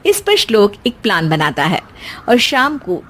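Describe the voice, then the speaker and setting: A woman's voice: medium pitch, wildly swooping pitch, measured pace, fairly bright tone, a little hiss or hum. A woman narrator reading a scripted story as storytelling narration.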